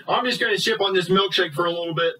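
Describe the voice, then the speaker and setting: A man's voice: sits high, quick pace, natural voice. A man voicing a bratty, dim puppet boy who talks crudely and whines, recorded a little far from the microphone. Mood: careless. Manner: crude, nonchalant, whiny. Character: bratty, dim, foul-mouthed boy puppet delivery driver. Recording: distant mic, faint background noise.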